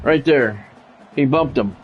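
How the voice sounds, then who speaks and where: A man's voice: mid-range voice, slow, narrow, tinny audio, faint music behind. A man doing play-by-play of his own football video game in short, blunt phrases, mixed with casual gamer talk.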